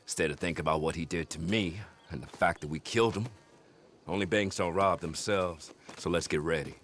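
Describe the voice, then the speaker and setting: An actor playing a determined American outlaw organiser in a western video game, plain-spoken and giving instructions. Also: male voice, deep voice, fairly quick, a bit bright. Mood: confident, wry. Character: rugged, determined Old West outlaw gang organiser.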